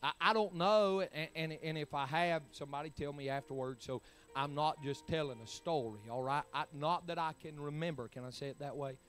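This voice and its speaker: A man's voice: on the high side, fairly quick, fairly bright tone, smooth. A man, preaching a sermon in emphatic, rising bursts. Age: 40s. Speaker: pastor.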